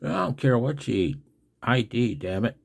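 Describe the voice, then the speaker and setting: An older man from the United States in a casual, unscripted vlog as a radio operator, blunt and wry with a gravelly, husky voice. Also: mid-range voice, lively intonation, moderate pace, very noisy. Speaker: amateur radio operator and video host. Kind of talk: casual, unscripted video commentary.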